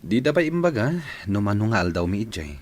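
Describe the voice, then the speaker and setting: Man acting evasive boyfriend, low voice, Ilocano accent, very varied intonation, comedy radio drama. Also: sing-song, fast. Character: male partner excusing a hotel meeting, evasive, irritated.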